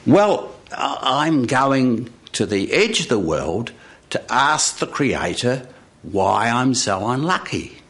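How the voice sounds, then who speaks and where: A glum little man of a folk tale, played by a male storyteller, meek and hesitant. His speech stumbles at the start and moves slowly, with a lively rise and fall. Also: mid-range voice, full character voice. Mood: timid. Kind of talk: live storytelling.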